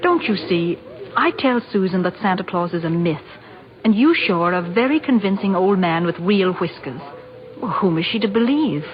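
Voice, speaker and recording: Practical skeptical mother female actor, mid pitch, firm and polite, old radio play, dull recording. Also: expressive, normal speed, soft background music, close to an everyday voice. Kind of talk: old-time radio drama acting.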